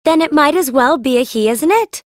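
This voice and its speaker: An actress playing a stubborn, tender-hearted young student mage in an audiobook, speaking with earnest insistence. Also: female voice, high voice, lively intonation, moderate pace, no room sound, studio quality. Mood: determined. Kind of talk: full-cast audiobook dialogue.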